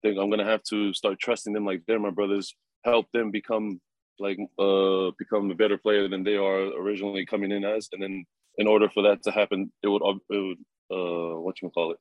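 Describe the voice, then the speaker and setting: A young man in his twenties, a rugby player answering interview questions in a relaxed, halting way with many fillers and chuckles. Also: fairly low pitch, no room sound, dull audio. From New Zealand. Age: 20s.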